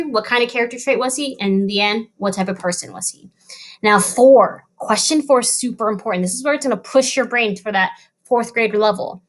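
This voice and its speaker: A woman schoolteacher giving an informal recorded lesson, talking pupils through questions step by step. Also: fairly high pitch. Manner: instructive, directly addressing pupils, step by step. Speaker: elementary school teacher.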